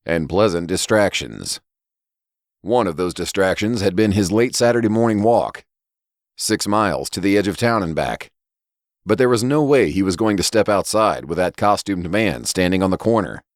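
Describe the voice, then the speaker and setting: Male audiobook narrator for fiction: low register, lively melodic delivery, deliberate storytelling. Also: dry recording, clean studio sound. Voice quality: low-pitched voice. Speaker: story reader and podcast narrator.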